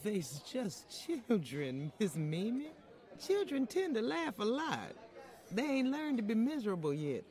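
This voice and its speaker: A woman's voice: fairly high pitch, sing-song, unhurried, dark tone. A woman playing an older Southern housekeeper and grandmother, plain-spoken and wry, in an old-time radio style drama. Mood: calm, wry. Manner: wry, chuckling, deferential but firm.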